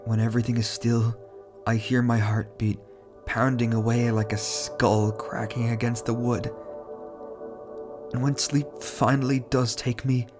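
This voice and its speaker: A man with a congested, stuffy voice tells a horror story in a measured, dramatic way. His pitch sits in the ordinary middle range for a man. Clear, moody music runs beneath his narration. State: stuffy from a cold.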